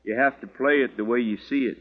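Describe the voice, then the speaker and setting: A man's voice: mid-range voice, steady tone, brisk, lightly acted. A male actor playing a stubborn, polite homesteader with folksy, firm speech in a 1950s radio western. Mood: firm, unyielding. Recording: muffled, noisy old radio recording.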